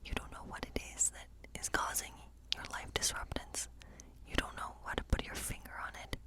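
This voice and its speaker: A woman whispering a tarot reading in an ASMR fantasy role play, gentle and unhurried, explaining in short, breathy phrases.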